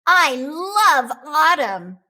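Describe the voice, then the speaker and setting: A woman's voice: high voice, very animated, extremely slow, pushed and bright, pitched above the performer's own voice, heavily acted. A woman voicing an eager, friendly young male cat in a storybook, enthusiastic and emphatic.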